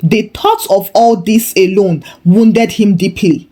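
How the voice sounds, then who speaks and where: A woman's voice: mid-range voice, slow pace, warm tone. A woman narrating a folktale in a theatrical, humorously exaggerated style. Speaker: storyteller. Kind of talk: dramatic scripted folktale narration.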